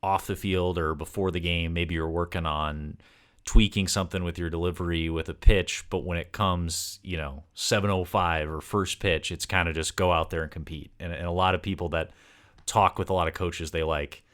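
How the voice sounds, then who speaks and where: Man, low-pitched voice, podcast host, conversational, wordy, meandering interview style. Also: steady tone, normal speed, a bit bright. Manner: wordy, meandering, multi-part questions.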